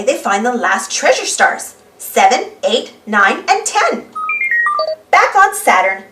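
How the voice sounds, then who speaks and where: A woman narrating a children's storybook in an animated read-aloud style. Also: high-pitched, recorded from a distance. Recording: roomy, voice away from microphone.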